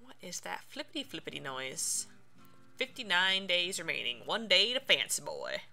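A woman's voice: fairly low pitch, wildly swooping pitch, moderate pace, gravelly, bright, forceful tone, faint music behind. A woman streamer giving casual, playful commentary on a video game, reacting aloud as she plays. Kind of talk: casual let's play commentary.

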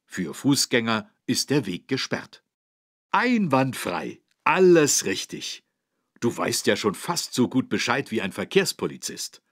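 A man playing a patient, friendly instructor, narrating for children in an encouraging, didactic way with a husky voice. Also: medium pitch, sing-song, a little slow, no echo, studio quality. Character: friendly, patient adult man teaching children road safety. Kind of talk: scripted children's educational narration.

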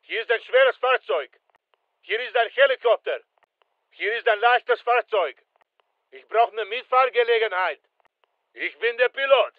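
A man's voice: extremely high pitch, expressive, measured pace, long pauses, dry recording, narrow, tinny audio. A male actor playing a terse special forces soldier in a military shooter game, giving clipped, commanding callouts. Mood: terse, businesslike.

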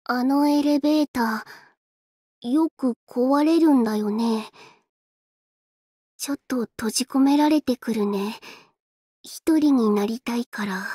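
A woman's voice: on the high side, takes it slowly, long pauses, dark tone, breathy edge, no room sound, studio quality. A woman voicing a shy, self-effacing young android fighter in an anime game, hesitant and earnest, with a tendency to stammer. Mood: timid, downcast. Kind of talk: Japanese anime game voice lines.

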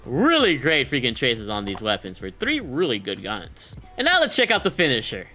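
A man's voice: mid-range voice, huge pitch swings, moderate pace, sharp tone. A man in his late twenties giving hyped, slangy, casual gameplay commentary as a YouTuber, close on the mic in a small room. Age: late 20s. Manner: hyped, slangy, chuckling streamer talk. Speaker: gaming YouTuber reviewing a bundle. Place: small indoor gaming room. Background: faint music.

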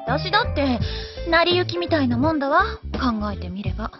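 An actress playing a frank, exasperated young older sister in a Japanese anime audio drama. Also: female voice, sits high, animated, brisk. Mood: flustered. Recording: dull lo-fi audio under music.

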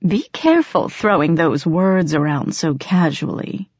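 A woman's voice: lowish voice, measured pace, darkish tone, slightly rough. A haughty male faery cat, as voiced by a woman narrator in a storybook reading. He talks down to his listener in formal, disdainful phrases. The sound is a little dull and roomy. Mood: cool, measured warning.

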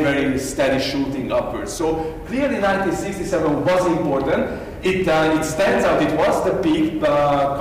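A man's voice: fairly high pitch, slight background noise. A middle-aged man giving an academic conference talk at a podium, hesitant with many filler sounds and restarts. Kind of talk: academic lecture. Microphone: podium microphone, filmed from far. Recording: echoey hall, far from mic.

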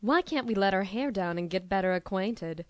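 A woman's voice: mid-range voice, lively intonation, moderate pace, dry recording, clean studio sound, close to an everyday voice. A woman playing a calculating, self-assured female scientist villain in an anime dub, with composed, coaxing delivery. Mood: teasing, coaxing. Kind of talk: dramatic anime dub acting.

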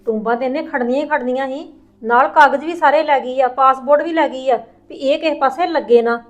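Woman as an outspoken relative, high voice, argumentative. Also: fairly quick, close to an everyday voice. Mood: arguing.

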